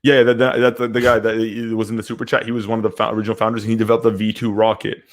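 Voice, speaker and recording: Low-voiced young man, rambling and hesitant. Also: flat delivery, rapid-fire. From Syria and Canada. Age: 20s. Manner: rambling, hesitant, repeats words. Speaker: podcast co-host. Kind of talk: casual live discussion.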